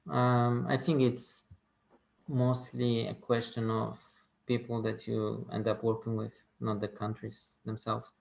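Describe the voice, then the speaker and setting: A man speaks slowly over a video call, his voice gravelly and his pitch flat. He pauses often with fillers as he answers questions. The room around him sounds echoey. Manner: slow, hesitant, with many fillers. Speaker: robotics consultant answering questions. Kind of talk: casual video call chat.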